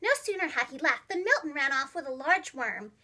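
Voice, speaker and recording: Very high-pitched woman reading a storybook. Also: brisk. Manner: expressive, simple phrasing for young children. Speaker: preschool teacher and storyteller. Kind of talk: children's storybook narration.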